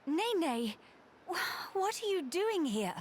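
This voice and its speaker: A woman playing an earnest, gentle, regal young noblewoman in a video game drama, with formal courtly phrasing. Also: medium pitch, lively intonation, slow pace, slight background noise. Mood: pleading, startled.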